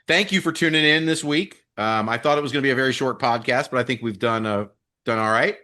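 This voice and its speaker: A man's voice: mid-range voice, fast talker, bright, forceful tone. A man co-hosting a wrestling podcast in casual, chummy chat with some mild swearing. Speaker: podcast co-host.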